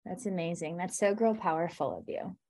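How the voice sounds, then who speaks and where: Woman host of an interview podcast; upbeat, encouraging, gravelly fry. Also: on the low side, brisk, dark tone. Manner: upbeat, laughs easily, encouraging.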